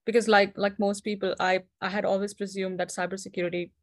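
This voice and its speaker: A woman's voice: medium pitch, little pitch movement, quick pace. A young woman from India in her twenties, a company founder, talking earnestly as an interview guest and often restarting her words. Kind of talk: casual interview conversation.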